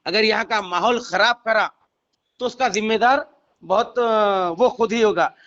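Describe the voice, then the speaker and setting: A man's voice: sits high, unhurried, pushed and bright. A man with a Hyderabadi accent working as a reporter, asking probing questions on a recorded phone call. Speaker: reporter conducting an interview.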